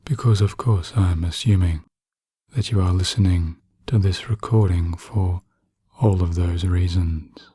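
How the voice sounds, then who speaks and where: A man narrating guided sleep hypnosis in a soothing, hushed manner with long pauses. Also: sits low, husky, dry recording, studio quality.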